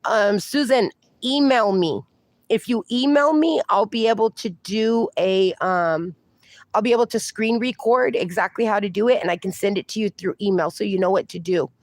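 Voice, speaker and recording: Reassuring woman host of a casual livestream, mid-pitched, dry close mic, lots of chatty fillers. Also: normal speed, dry recording. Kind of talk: casual livestream chat reading comments.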